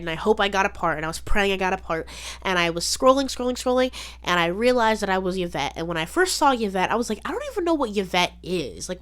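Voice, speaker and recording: Young woman podcaster, kinda low voice, chatty and girlish, says like a lot, repeats herself for emphasis. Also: fairly bright tone, clean tone. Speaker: podcast host. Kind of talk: casual chatty solo podcast monologue.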